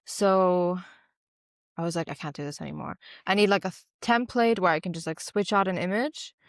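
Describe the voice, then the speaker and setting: Woman podcast co-host, lowish voice, dry close sound, chatty and relaxed. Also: normal speed, no echo. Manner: relaxed, chatty, explains at length with many "like"s. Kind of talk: casual chat.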